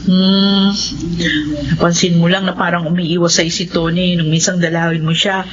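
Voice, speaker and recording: An actress playing an earnest, devout wife and mother, expressive and conversational, in a radio horror drama. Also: fairly low pitch, normal speed, close to an everyday voice. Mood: earnest, persuading. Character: earnest believing wife and doting, anxious mother. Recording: dull lo-fi audio, faint noise.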